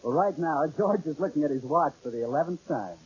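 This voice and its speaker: Raspy, animated male old-time radio announcer. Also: fairly high pitch, a little fast. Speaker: announcer, narrator. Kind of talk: 1940s radio comedy scripted narration. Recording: dull old radio recording.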